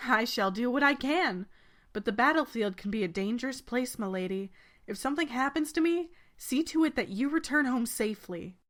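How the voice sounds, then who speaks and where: A woman's voice: on the high side, expressive, moderate pace, fairly bright tone. A woman playing a kind, devoted knight and older-sisterly protector, formal and reassuring, in a video game fandub. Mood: solemn.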